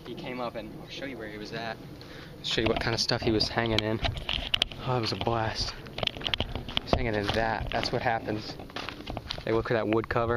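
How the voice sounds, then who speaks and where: A young man, excitedly talking to the camera as a fishing vlogger while outdoors on a pond bank, often breathless and laughing. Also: fairly low pitch. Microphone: camera on a tripod some distance away. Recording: distant camera mic with outdoor noise.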